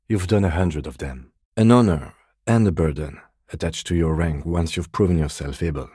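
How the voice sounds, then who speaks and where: A man playing a composed, meticulous police lieutenant detective in a video game, speaking in a precise, deadpan, politely reserved way. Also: sits low, slightly rough, no room sound, clean studio sound. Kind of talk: video game character dialogue.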